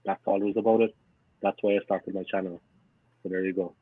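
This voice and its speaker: A man's voice: sits low, little pitch movement, fast talker, husky, dry recording. A man in his forties with a thick Cork Irish accent comes through a thin, phone-like remote line. His speech is dry and close, with no room sound. He tells his stories earnestly and says things twice to drive them home. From Cork, Ireland. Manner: earnest, repeats himself for emphasis. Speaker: podcast guest, fan channel owner. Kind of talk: casual interview storytelling.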